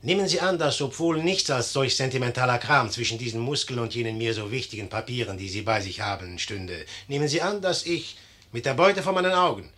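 An actor playing a vain, hot-tempered young general in a theatrical 1950s German radio play style, moving between condescension and bluster. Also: male voice, mid-range voice, lively intonation, brisk, fairly bright tone, natural voice. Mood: scornful, bargaining.

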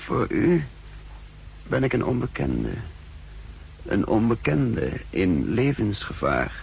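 A hunted man in an old radio thriller, guarded and insistent. His voice has a gravelly edge and swings widely in pitch. The vintage recording has a faint hum. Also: sing-song, slow, darkish tone, exaggerated voice. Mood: urgent, secretive. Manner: insistent, guarded, giving clipped instructions. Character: urgent, secretive man hunted by a secret police. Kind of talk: 1960s Dutch radio drama acting.

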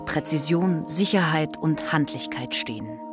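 A woman playing a composed radio play narrator, reading scripted prose in a measured, detached, documentary-like manner. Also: deep voice, animated, over music. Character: composed female narrator chronicling a gentleman's life.

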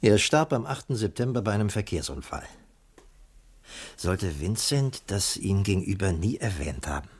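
Lebhafter, spöttischer Privatdetektiv. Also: male voice, on the low side, sing-song, moderate pace, slightly rough. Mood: wry, probing. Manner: jocular, sardonic. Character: wry, bantering ex-policeman turned private investigator, fond of drink. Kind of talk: 1980s German radio crime drama acting.

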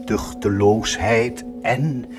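An actor playing a bitter, mocking fallen priest tormented by a devil, in a theatrical, accusing radio drama style. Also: male voice, on the low side, fairly even intonation, over music. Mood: defiant confession. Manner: slow, accusing, sardonic, solemn declaiming.

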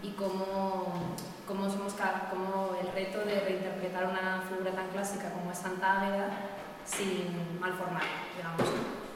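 A woman curator introducing a seminar session, speaking in a halting way with many pauses and restarts. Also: mid-range voice, level tone. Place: seminar room. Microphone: distant room microphone. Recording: echoey hall from far off, noisy.

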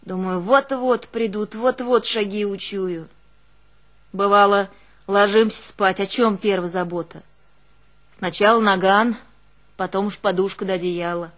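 A woman's voice: medium pitch, fairly even intonation, lightly acted. An actress playing a long-suffering village mother, telling her hardships in a plaintive, colloquial dramatic monologue for an audio play. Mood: bitter recollection. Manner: plaintive, colloquial, unhurried, swinging between hushed and keening. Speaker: stage actress in a one-woman audio play.

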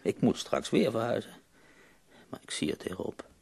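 An actor playing an ailing, melancholy but wry poet in a radio play, his voice gravelly, husky and weary. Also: mid-range voice, expressive, normal speed, darkish tone, full character voice. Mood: weary, dejected.

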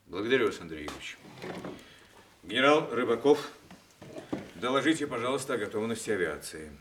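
An actor playing a dignified senior military commander, formal and deliberate, in a Soviet radio play. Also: male voice, fairly low pitch, big pitch swings, a bit bright, slight background noise. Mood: courteous, businesslike. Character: dignified senior army commander, male, courteous, measured.

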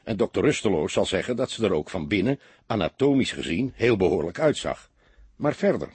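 A man voicing a seasoned, laconic police inspector who lectures his young partner calmly and a little gruffly, with a husky voice. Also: on the low side, a little fast, no room sound, exaggerated voice. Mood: reflective.

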